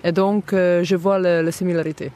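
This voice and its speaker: A woman's voice: fairly low pitch, fairly even intonation, fairly quick, warm tone. Woman searching for words. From southern Hungary. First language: Hungarian. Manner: hesitant, with many fillers, searching for words. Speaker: interview guest. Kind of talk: casual interview talk.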